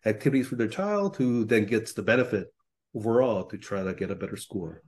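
A middle-aged man from Korea talks as a guest in a relaxed interview. His voice sits a little low, and he picks his way through his thoughts with frequent ums and self-corrections. The delivery is measured and reflective. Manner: thoughtful, hedging with many ums and restarts. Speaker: professor and podcast guest. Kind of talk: conversational interview.

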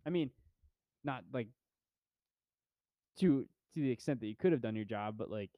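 A man's voice: mid-range voice, expressive, normal speed, no echo, muffled recording. A young man co-hosting a podcast, chatting casually about movies in a laid-back, rambling way with lots of fillers. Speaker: podcast co-host. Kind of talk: casual movie review chat.

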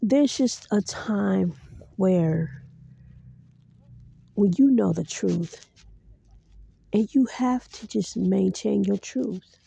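A woman's voice: medium pitch, very slow, vocal fry, pauses often, dark tone, slightly rough, dry recording. A woman hosting a podcast in solo commentary, speaking in an earnest, preachy way straight to her listeners. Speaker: podcast host.

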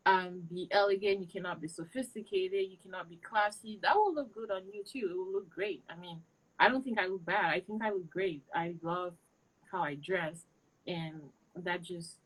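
A woman's voice: fairly low pitch, normal speed, breathy edge, rough recording. A young woman from Cameroon, speaking in a casual interview as a guest and giving earnest advice with some fillers. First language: not English. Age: young adult. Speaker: guest, boutique owner.

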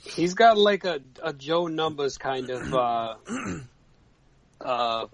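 A man's voice: a little high, lively intonation, drawn-out words, gravelly, no room sound. A man in his forties cohosting a podcast, profane and exclamatory. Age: 40s. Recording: dry, dull lo-fi sound.

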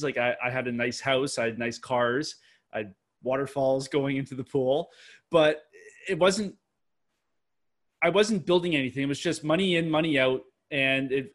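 A man in his thirties with a Canadian accent, telling his story as a podcast guest with restarts and analogies. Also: fairly high pitch. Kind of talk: casual interview answers.

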